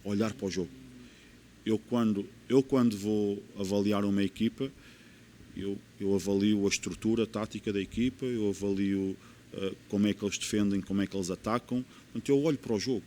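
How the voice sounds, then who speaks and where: A man's voice: fairly low pitch, fairly even intonation, brisk, dark tone, a little hiss or hum. A man with a European Portuguese accent, a football coach in a podcast interview, explaining his views earnestly and repeating key phrases for emphasis.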